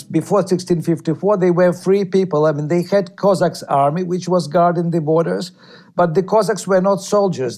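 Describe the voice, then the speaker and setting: Interview guest: man, somewhat high-pitched, measured, halting delivery. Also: warm tone. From Ukraine. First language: Russian. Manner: measured, with frequent hesitations and repeated words. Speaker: guest, writer. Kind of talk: interview answers.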